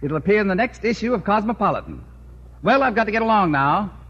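An actor playing a brisk, businesslike magazine photographer in a 1950s radio sitcom. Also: male voice, high-pitched, animated, fairly bright tone, breathy edge, heavily acted. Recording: muffled old radio broadcast with hiss.

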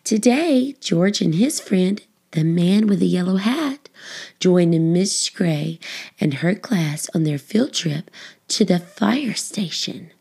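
A woman narrating a children's book, phrasing it expressively and deliberately for young listeners. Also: on the low side, animated, vocal fry, warm tone, husky. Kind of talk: scripted storybook narration.

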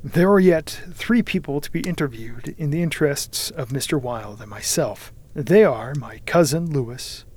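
A man's voice: a little high, lively intonation, normal speed, few pauses, darkish tone, husky. A man voicing a deluded, grandiose, angry young man with emphatic, commanding declamation in an audiobook. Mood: coldly triumphant. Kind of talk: audiobook character acting.

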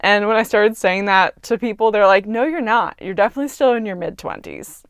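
A woman's voice: mid-range voice, a little fast, clean tone, no room sound, clean studio sound. A woman in her twenties hosting her own podcast, talking in an earnest, chatty way and thinking aloud with little fillers. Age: 20s. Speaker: podcast host. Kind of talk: casual reflective monologue.

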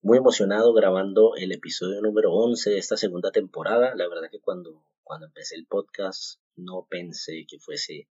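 A man from Colombia in his thirties hosting a podcast, sharing a devotional thought in a halting way, with many fillers and restarts. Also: low-pitched, fairly even intonation, dark tone, breathy edge, no echo. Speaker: podcast host. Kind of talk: casual devotional talk. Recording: muffled, dull home recording.

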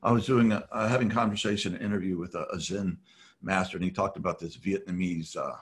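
A man's voice: sits low, steady tone, fast talker. A man talking in a reflective, rambling way, with many fillers and restarts, as a podcast guest. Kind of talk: reflective conversational talk.

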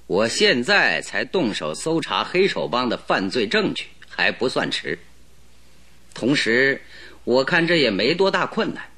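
A shrewd, self-assured detective from an old radio play. He presses and mocks with cool precision, his bright voice rising and falling in lively swings. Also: mid-range voice, full character voice. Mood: coolly taunting. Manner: probing, mocking, coolly pressing. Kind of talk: radio drama acting.

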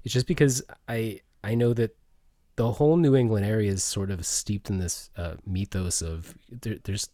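A man's voice: lowish voice, moderate pace, mellow, no room sound, studio quality. A man hosting a podcast in casual interview chat, agreeable and a little tentative as he works toward his questions. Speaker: podcast host.

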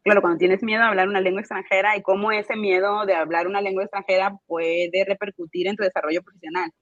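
A woman's voice: medium pitch, no room sound. A woman guest in an interview explaining her course design work, hesitant, with many fillers and restarts. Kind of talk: casual interview answers. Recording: dull lo-fi dry sound.

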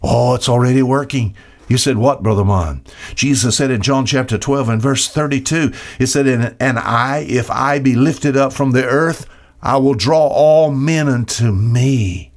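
A man preaching an expository sermon, emphatic, with dramatic pauses and rhetorical questions. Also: mid-range voice. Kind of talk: expository sermon, reading and explaining Bible verses.

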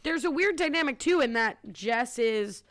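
A woman's voice: high-pitched, lively intonation, a bit bright. A woman co-hosting a podcast, chatting casually about a TV show with a wry, teasing manner. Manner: wry, teasing, quick asides. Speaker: podcast co-host.

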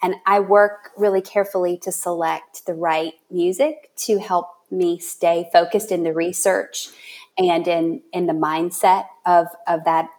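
A woman hosting a casual interview chat, thinking aloud in a halting way as she works toward her point. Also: lowish voice, darkish tone. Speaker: podcast host and writer.

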